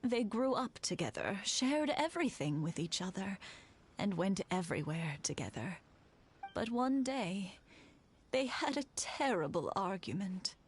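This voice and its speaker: Unhurried, expressive old wife. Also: female voice, medium pitch, breathy edge. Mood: concerned. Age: older. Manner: unhurried, reflective. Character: warm elderly wife, fond and gently teasing. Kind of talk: video game voice acting.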